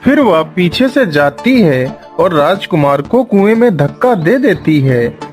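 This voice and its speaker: Male narrator for scripted moral tales, expressive pitch swings, light music underneath. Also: measured pace.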